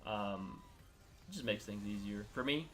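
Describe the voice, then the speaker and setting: A man's voice: fairly low pitch, animated, measured pace, a bit bright. A young man from Miami chatting casually as a co-host, rambling with lots of filler words and restarts. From Miami, United States. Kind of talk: casual chat.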